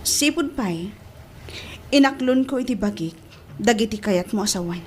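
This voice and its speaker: A woman's voice: medium pitch, animated, normal speed, slight background noise, lightly acted. An actress playing a devoted, lovestruck young woman in an emotive Ilocano audio drama, drawing out her words. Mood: tender, devoted. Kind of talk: Ilocano radio-style drama acting.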